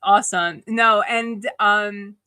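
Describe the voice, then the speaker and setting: A woman's voice: mid-range voice, fairly even intonation, takes it slowly, bright, forceful tone, smooth, no room sound, studio quality. A woman hosting a casual livestream interview in an effusive, chatty way. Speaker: host.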